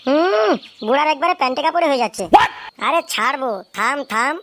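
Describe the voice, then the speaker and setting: A woman doing a high-voiced, bullying cartoon villain. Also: expressive, brisk, fairly bright tone. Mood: gloating. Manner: taunting, bossy, crude. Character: bullying, gloating male villain holding a hostage. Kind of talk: comedy cartoon dialogue.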